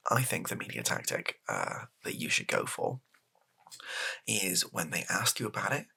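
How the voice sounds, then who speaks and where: A British man improvising a softly spoken comedy roleplay as a hesitant, deadpan, rambling political advisor to the prime minister. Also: low-pitched, normal speed, creaky, breathy edge, heavily acted. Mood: matter-of-fact, musing.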